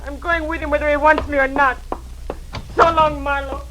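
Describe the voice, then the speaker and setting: Actress doing defiant dame in old radio crime drama, high voice, stubborn n dramatic. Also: female voice, level tone, normal speed, heavy background noise, lightly acted. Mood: bitter, resolute. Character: defiant woman devoted to her fugitive lover.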